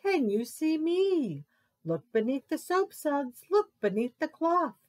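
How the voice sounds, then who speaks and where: A woman's voice: sits high, big pitch swings, slow, a little mellow, full character voice. A woman voicing a playful father mouse who calls for his hiding child in a sing-song rhyming chant.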